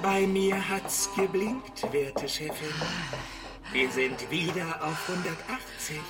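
A woman playing a flattering assistant in a children's radio play, soothing her boss in a measured, fawning, hypnotic way. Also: on the low side, loud music behind the voice.

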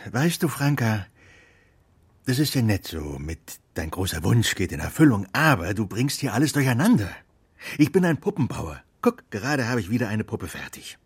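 An actor in a children's radio play playing a humble, good-natured old puppet maker who speaks hesitantly, muttering interjections. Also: male voice, lowish voice, big pitch swings, normal speed, slightly rough, exaggerated voice. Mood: bemused, grumbly.

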